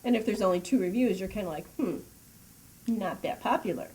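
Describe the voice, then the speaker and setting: A woman's voice: medium pitch, animated, a little fast, dark tone, poor audio. A woman asking questions as an interviewer in a casual, chatty way. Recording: clear background room noise.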